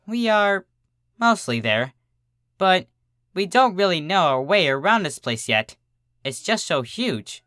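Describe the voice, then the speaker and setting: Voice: mid-range voice, sing-song, slow, long pauses, fairly bright tone, no echo, studio quality, pitched above the performer's own voice. A narrator voicing a polite, bookish young female pony, friendly and earnest.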